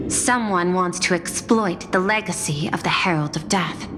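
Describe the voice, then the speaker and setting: A woman's voice: fairly low pitch, natural voice. A woman acting a composed, calculating, machine-minded corporate chief in a video game, speaking formally and with cool precision. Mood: grave, composed. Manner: formal, measured, coolly precise. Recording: long hall reverb, music behind.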